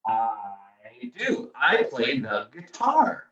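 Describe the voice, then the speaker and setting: A man's voice: mid-range voice, wildly swooping pitch, drawn-out words, sharp tone, echoey room, far from the mic, highs cut off. A man guesting as a children's musician, explaining things simply and encouragingly to young listeners. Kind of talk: children's singalong and friendly explaining. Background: acoustic guitar.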